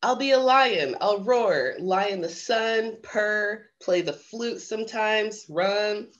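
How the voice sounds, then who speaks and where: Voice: mid-range voice, slow, fairly bright tone, lo-fi sound. A woman voicing a cheerful, carefree young male lion from a children's storybook in a playful, declarative way. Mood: cheerful, dreamy.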